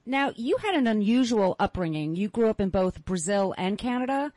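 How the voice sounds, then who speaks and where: A woman's voice: medium pitch, very animated, moderate pace, darkish tone, no echo. A woman co-hosting a podcast, asking a guest questions in a friendly, conversational way. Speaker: podcast co-host. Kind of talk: casual interview.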